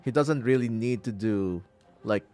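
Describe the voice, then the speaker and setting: A man's voice: medium pitch, unhurried, darkish tone. Chatty middle-aged male game streamer. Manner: chatty, rambling. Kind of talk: casual livestream commentary. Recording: game music behind.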